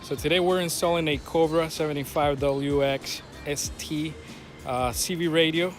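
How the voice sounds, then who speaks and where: A man's voice: fairly high pitch, fairly even intonation, moderate pace, faint music behind. A man giving an informal, plain-spoken hands-on walkthrough in a casual vlog style. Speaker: video creator showing a radio install.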